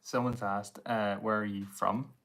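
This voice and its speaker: A man's voice: fairly low pitch, flat delivery, a little slow, a bit bright, a little hiss or hum. A man acting as co-host on a livestream, briefly relaying viewers' questions and comments in a matter-of-fact way.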